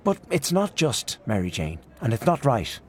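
A man putting on an indignant old aunt, raised in pitch from his own low voice and lively in tone. She presses each point with emphatic scorn in a storybook reading. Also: normal speed, lightly acted. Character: indignant elderly aunt, fiercely opinionated.

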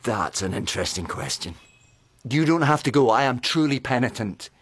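A man's voice: mid-range voice, big pitch swings, moderate pace, breathy edge, no room sound. An actor playing a remorseful, self-mocking man who pleads with his wife, swinging from wry jokes to anguish in a radio play.

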